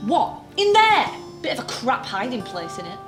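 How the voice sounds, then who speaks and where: Woman acting a high-pitched, feisty young woman. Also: big pitch swings, moderate pace. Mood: sceptical, irritated. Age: young adult. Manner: blunt, questioning, incredulous. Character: bewildered, sceptical, feisty young office worker woman. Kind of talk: audio drama acting. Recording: echoey with music underneath.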